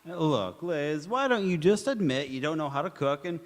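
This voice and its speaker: A man's voice: fairly high pitch, very animated, moderate pace. A man playing a boyish, babied husband in 1940s radio comedy style, hesitant and placating. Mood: teasing, placating. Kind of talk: 1940s radio comedy acting, staged revival. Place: community theatre stage in a church. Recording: echoey hall, long reverb.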